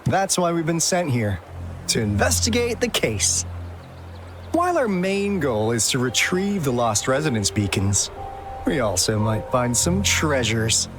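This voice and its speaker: A man's voice: medium pitch, very animated, measured pace. An actor voicing a laid-back, wry male explorer hunting treasure in a game cutscene. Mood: easygoing. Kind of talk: video game cutscene acting. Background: music.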